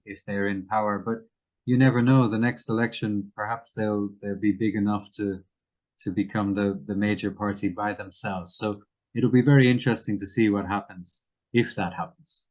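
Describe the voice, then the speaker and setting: Male interview guest, lower register, careful and halting, explains current affairs in conversation. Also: level tone, darkish tone, poor audio.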